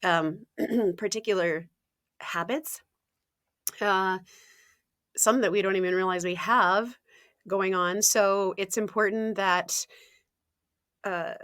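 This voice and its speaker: A woman, a health coach talking casually in an interview, often laughing, clearing her throat and using fillers. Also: medium pitch, fairly bright tone, no room sound, clean studio sound. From Ontario, Canada.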